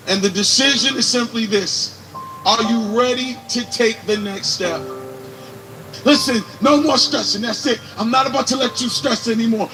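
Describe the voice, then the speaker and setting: A man giving an intense motivational speech to students, repeating phrases and building up to shouts. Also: very high voice, poor audio. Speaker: motivational speaker. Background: music.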